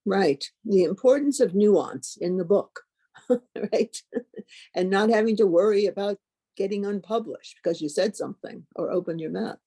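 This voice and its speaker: A woman's voice: mid-range voice, normal speed, warm tone, breathy edge. A woman hosting a panel conversation, introducing guests with brief questions and adding short affirmations while they speak. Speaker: host.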